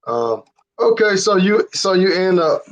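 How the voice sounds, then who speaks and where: A man's voice: high voice, big pitch swings, measured pace. A man hosting an interview, asking casual questions with some hesitation. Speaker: host. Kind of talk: livestream interview. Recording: lo-fi livestream audio.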